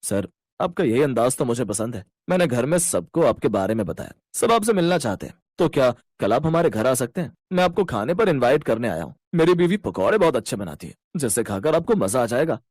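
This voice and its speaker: A man's voice: medium pitch, very animated, a little fast, a little mellow, dry recording, clean studio sound. Man playing an earnest young married office worker. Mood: politely inviting. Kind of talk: cartoon story dialogue.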